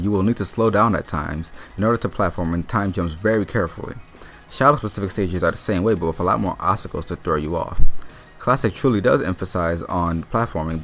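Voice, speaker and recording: A man narrating a scripted video game review, explaining things methodically as the host. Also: low voice.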